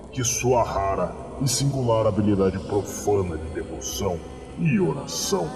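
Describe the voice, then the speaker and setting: A man's voice: deep voice, pitched below the performer's own voice. A man playing a solemn cult priest of ancient gods, speaking with grandiose, ceremonial reverence in a theatrical horror sermon. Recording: long cavernous reverb, music underneath.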